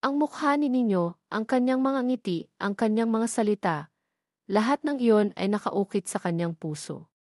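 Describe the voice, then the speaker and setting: A woman's voice: on the high side, normal speed, darkish tone, no room sound, clean studio sound. A woman reading scripted narration for a romantic audio drama, as a storyteller.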